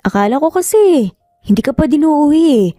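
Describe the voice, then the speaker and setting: A woman with a Filipino accent voicing a caring young man, a loyal best friend, in a gentle and reassuring manner for an audio romance story. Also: sits high, lively intonation, a little fast, clean studio sound, voice pushed up. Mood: casual, considerate. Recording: roomy echo, faint music behind.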